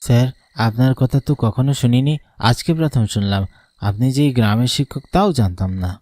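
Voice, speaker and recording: A man's voice: mid-range voice, fairly quick, warm tone, no echo, lightly acted. A man voicing a hesitant, deferential village schoolboy in a horror cartoon. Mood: hesitant, respectful. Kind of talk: dubbed horror cartoon dialogue. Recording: music under the voice.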